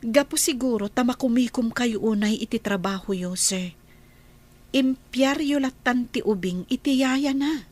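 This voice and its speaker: A woman's voice: a little high, a little slow, darkish tone. A woman playing a concerned, respectful household helper in a radio drama. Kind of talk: Ilocano radio drama acting.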